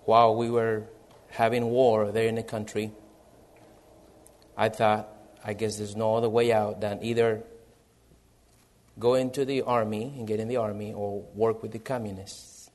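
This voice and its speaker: Man, Salvadoran Spanish accent, missionary pastor, earnest, measured delivery. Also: fairly low pitch, flat delivery, darkish tone. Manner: earnest, measured, reads from prepared notes. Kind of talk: missionary church presentation and sermon.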